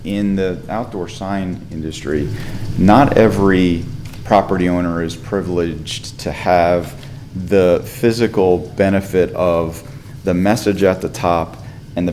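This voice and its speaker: Very deep-voiced man, sign-industry rep, persuasive pitch to a commission. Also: steady tone, measured pace, darkish tone, a little hiss or hum. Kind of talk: public hearing testimony. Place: council chamber.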